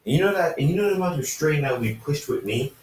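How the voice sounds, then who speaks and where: A man voicing an ancient, defensive, brooding immortal hybrid in a story, speaking haltingly. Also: on the high side, expressive, voice pushed up, lightly acted. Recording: roomy echo, distant microphone.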